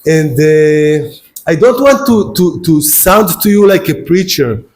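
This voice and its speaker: A man with an Israeli accent lectures on philosophy in a somewhat high voice. He speaks English as a second language after Hebrew, often stumbling over and repeating words. He addresses the students directly. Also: animated, slow, a little mellow. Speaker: guest lecturer. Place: lecture hall.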